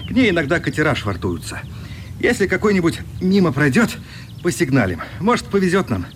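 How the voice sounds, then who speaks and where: A man playing a friendly, adventurous, comradely traveller in a radio play, brisk and good-humoured. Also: on the high side, sing-song, slight background noise.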